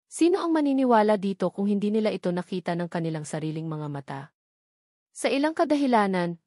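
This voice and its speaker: A woman's voice: medium pitch, a little mellow, no room sound, studio quality. A woman reading scripted fantasy novel narration as an audiobook narrator.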